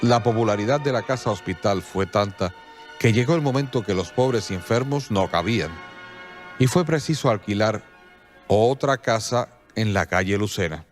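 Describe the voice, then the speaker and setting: Male narrator, scripted radio drama: low, measured, faint violin music underneath. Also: animated. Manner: measured, storytelling. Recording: soft violin music behind.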